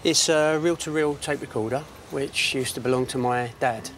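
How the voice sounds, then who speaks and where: A man's voice: mid-range voice, normal speed, fairly bright tone. Older man reminiscing, some background noise. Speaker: guest bringing an heirloom for repair. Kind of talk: casual reminiscing conversation. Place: large timber barn workshop.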